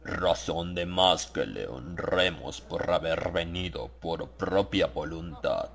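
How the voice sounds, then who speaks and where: A man voicing a grave, reverent old monk of a sinister brotherhood in an audiobook, solemn and ingratiating, with a gravelly voice. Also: sits low, takes it slowly, a bit bright, voice pushed down, heavily acted.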